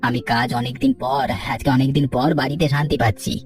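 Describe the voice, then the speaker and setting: A man's voice: medium pitch, steady tone, quick pace, far from the mic, soft background music, natural voice. A man voicing a polite, reassuring young son home from the city in a cartoon. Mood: caring, polite. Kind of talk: Bengali cartoon story dialogue.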